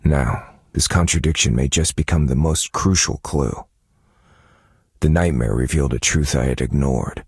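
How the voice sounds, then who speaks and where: Brooding game detective, bottomless low register, heavy vocal fry, husky, analytical, contemplative, measured monologue. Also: male voice, a little mellow, dry recording, studio quality, exaggerated voice. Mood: grave, reflective. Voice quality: gravelly, husky. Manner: measured, contemplative, musing to himself with murmured hums. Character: brooding, analytical male detective-novelist unraveling dark mysteries. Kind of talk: scripted game character monologue.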